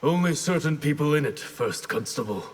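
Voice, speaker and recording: An actor playing an honourable, determined ninja warrior in a video game, earnest and formal. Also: male voice, on the high side, lively intonation, moderate pace, close to an everyday voice. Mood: earnest, resolute. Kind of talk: video game character dialogue. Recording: loud noise behind the voice.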